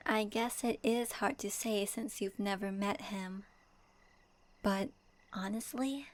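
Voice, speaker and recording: A woman acts as a young female adventurer from a fantasy game in a soft ASMR-style roleplay. She speaks slowly and reflectively in a mid-pitched voice, gentle and wistful, as if confiding in a companion. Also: lightly acted. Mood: wistful, tender. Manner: soft, reflective, addresses listener directly.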